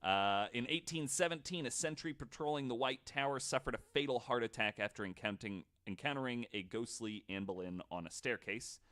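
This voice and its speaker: A man's voice: mid-range voice, brisk, hardly any pauses, fairly bright tone. A man hosting a podcast, telling stories to co-hosts in a casual, joking, informal way with some swearing. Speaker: podcast host.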